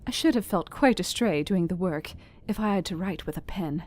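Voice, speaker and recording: Actress as an earnest, precise young Victorian wife. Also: female voice, fairly low pitch, expressive, natural voice. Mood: weary, grateful. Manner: earnest, precise, deliberate diction. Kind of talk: dramatized audio reading of a Gothic novel journal. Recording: music behind the voice. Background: instrumental music.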